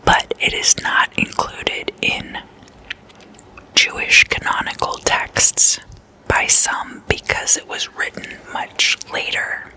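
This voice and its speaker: Slow whispering ASMR host. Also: rough recording. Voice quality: unvoiced breathy whisper. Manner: slow, intimate, repeats words softly. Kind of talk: ASMR, whispered casual storytelling. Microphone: close microphone.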